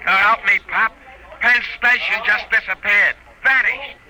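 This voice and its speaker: A man's voice: high-pitched, a little slow, bright, forceful tone, full character voice, rough recording. A man playing an insistent newspaper reporter in a vintage radio drama, slangy, urgent and staccato. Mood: frantic. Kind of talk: 1940s-50s radio drama acting. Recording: old radio recording, faint noise.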